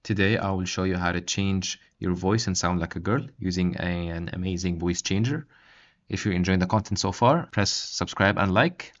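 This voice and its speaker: A man narrating a step-by-step software tutorial as its host, on a dull, lo-fi recording. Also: low-pitched. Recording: dull, lo-fi microphone sound.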